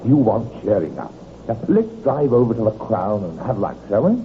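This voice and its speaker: Glib, wildly swooping swindler. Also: male voice, fairly low pitch, very animated, normal speed. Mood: breezy, evasive. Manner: glib, falsely breezy, cajoling. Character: smooth-talking male swindler, glib, bullying, master of house. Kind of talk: 1950s radio drama acting. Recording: tinny, noisy old radio recording.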